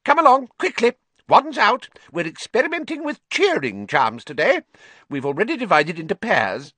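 A man's voice: sits high, sing-song, sharp tone, no echo, voice pushed up, heavily acted. A man doing a piping fussy little professor. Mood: reproving. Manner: reproving, brisk, piping. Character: tiny fussy old male professor.

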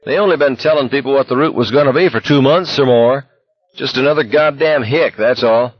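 A man voicing a brash, foul-mouthed young male walker with a loud, sarcastic bray in an audiobook story. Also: mid-range voice, brisk, husky, voice pushed up, lightly acted. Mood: scornful. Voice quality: loud braying. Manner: sarcastic, aggressive. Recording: dull, echoey narration recording.

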